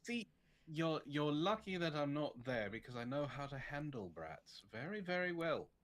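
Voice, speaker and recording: A man's voice: a little high, sing-song, normal speed. A man co-hosting a live stream, dryly teasing and putting on mock menace. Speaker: stream co-host. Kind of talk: playful banter on a live stream.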